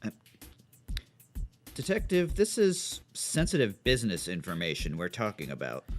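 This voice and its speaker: A man's voice: fairly low pitch, huge pitch swings, normal speed, faint music behind. A man playing a cold, smug, greedy corporate executive in an audio drama, curt and dismissive. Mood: guarded. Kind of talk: audio drama villain acting.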